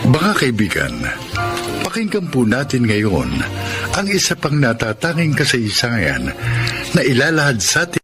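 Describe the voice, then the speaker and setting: A man's voice: medium pitch, wildly swooping pitch, unhurried. A man with a Filipino accent reading a scripted introduction as the announcer of a radio drama. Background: music.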